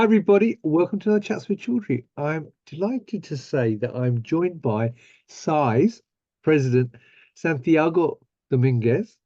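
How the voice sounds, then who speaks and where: A man's voice: fairly high pitch, dark tone, no room sound, lo-fi sound. A man hosting a business interview, asking friendly, upbeat questions. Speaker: host. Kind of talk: business interview over video call.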